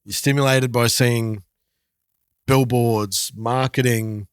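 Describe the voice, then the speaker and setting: A man co-hosting a podcast, chatting casually and thinking aloud in halting phrases. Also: medium pitch, steady tone, smooth, no echo, studio quality. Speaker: podcast host.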